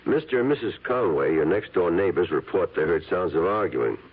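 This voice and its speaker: Husky, businesslike police lieutenant. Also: male voice, deep voice, animated, brisk. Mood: cool, businesslike. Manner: clipped, formal, matter-of-fact. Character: cool, persistent, polite police lieutenant. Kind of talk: 1970s radio drama acting. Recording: tinny old radio broadcast.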